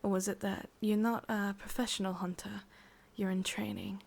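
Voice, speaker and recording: A woman with an English accent voicing a possessive, sadistic witch in a slow, breathy ASMR roleplay whisper. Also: mid-range voice, little pitch movement, a little mellow. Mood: smug, teasing. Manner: slow, intimate, teasing. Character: sadistic, possessive witch, softly menacing and doting.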